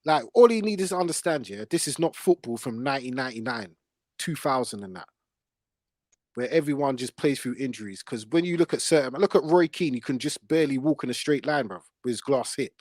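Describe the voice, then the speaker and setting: A man's voice: medium pitch, animated, fairly quick, darkish tone, no room sound, studio quality. A man hosting casual football debate, emphatic and slangy, repeating himself for stress. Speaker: football podcast host.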